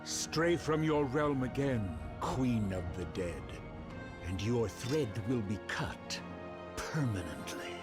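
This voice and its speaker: An aged, majestic Norse king of gods for a fantasy game. He speaks slowly and grandly, with sweeping swings of pitch and stern command, over prominent music. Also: expressive. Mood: cold menace. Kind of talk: theatrical game cutscene acting.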